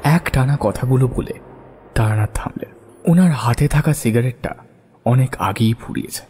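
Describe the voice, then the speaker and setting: A man's voice: mid-range voice, normal speed, warm tone, a little hiss or hum. A man narrating a scripted horror audio story in the first person.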